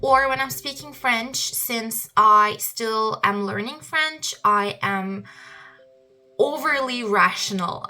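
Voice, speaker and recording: A young woman, hosting a solo podcast and talking thoughtfully with frequent pauses. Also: on the high side, sharp tone. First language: Turkish. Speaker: podcast host.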